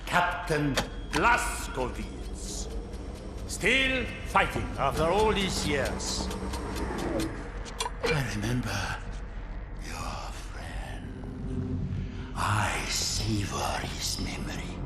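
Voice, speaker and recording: An actor playing a gloating, sadistic old German mad scientist in a video game, menacing and theatrical with a German accent. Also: male voice, medium pitch, very animated. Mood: gloating menace. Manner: menacing, savoring, deliberate. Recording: echoing, loud music behind.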